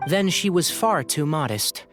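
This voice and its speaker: A man's voice: a little high, expressive, unhurried, fairly bright tone. A man playing a thoughtful young male scholar and ranger in a game, speaking in a reasoned, composed, explanatory way. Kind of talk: game cutscene voice acting. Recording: game audio with background music.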